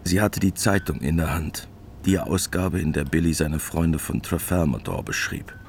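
Deep-voiced male radio drama narrator. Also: normal speed, gravelly, a little hiss or hum. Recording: prominent music behind the voice.

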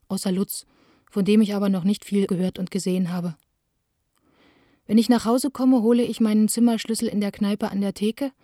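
A woman's voice: mid-range voice, warm tone, dry recording, studio quality, natural voice. An actress playing a young woman theatre director who reads her letters in a radio drama, reflective, plain-spoken and confiding.

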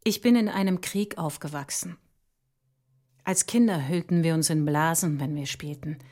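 An actress playing a resolute visionary woman mathematician in a literary radio drama, speaking in a measured, deliberate way. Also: low voice, darkish tone. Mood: sombre, reflective.

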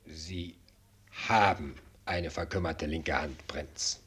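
An aged state minister at court in a radio drama. His voice is extremely low and very husky, and he speaks slowly. He is ceremonious, deferential and discreet, halting between formal phrases. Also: fairly bright tone, full character voice. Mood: grave. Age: older. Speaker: actor in a radio play. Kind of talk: German radio drama acting.

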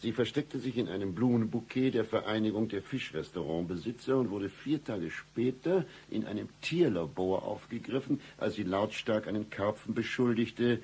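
A dry, sardonic investigator from a radio comedy. He has a lowish, husky voice and says ridiculous things completely deadpan. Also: normal speed, hardly any pauses. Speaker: actor in a radio play. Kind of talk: comic radio play acting.

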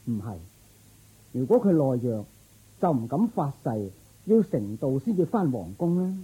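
An actor playing a loyal, thoughtful palace guard in a radio drama, earnest and reasoning. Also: male voice, mid-range voice, big pitch swings, measured pace, mellow, breathy edge, full character voice, rough recording.

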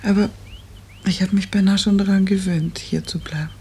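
A woman acting a gentle, anxious hospital patient of thirty in a 1950s radio drama, soft and wistful. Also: mid-range voice, steady tone, moderate pace, natural voice. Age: 30s. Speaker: actress. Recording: noisy old 1950s radio recording.

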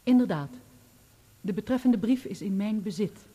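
A composed, loyal woman on the witness stand as an actress plays her. Her voice is soft-edged and mellow, and she answers in measured, formal sentences, in radio drama style. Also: medium pitch, breathy edge, lightly acted. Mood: composed, assured. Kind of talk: Dutch radio play acting.

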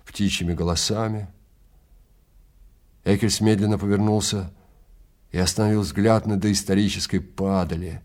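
Low-voiced man narrating a story. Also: darkish tone. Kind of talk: dramatic scripted story narration.

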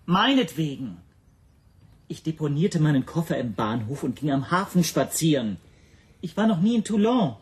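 An actor playing an indignant Hungarian language teacher under interrogation in a radio play, defensive, precise and affronted. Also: male voice, on the high side, very animated, moderate pace.

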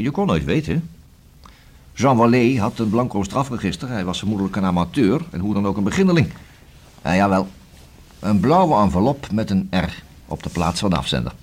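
An actor in a Dutch radio play as a courteous, persistent police investigator, speaking in a formal, long-winded, deliberate way. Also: male voice, deep voice, slightly rough, full character voice. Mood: deliberate, coaxing.

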